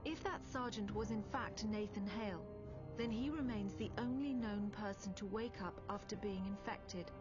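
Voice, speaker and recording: A woman playing a composed officer who recounts a war in measured, grave, matter-of-fact scripted narration. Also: medium pitch, lo-fi sound, close to an everyday voice. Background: clear background music.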